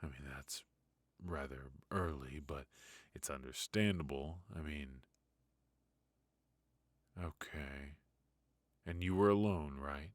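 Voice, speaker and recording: A man playing a ruthless but protective mafia boss in an intimate noir roleplay, murmuring close to the mic with hesitant pauses and quiet menace. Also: rumbling low, no room sound. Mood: probing, concerned. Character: brilliant, ruthless male mafia boss, possessive yet protective. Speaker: voice actor. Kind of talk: noir ASMR roleplay, intimate dramatic monologue. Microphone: close studio mic, dry.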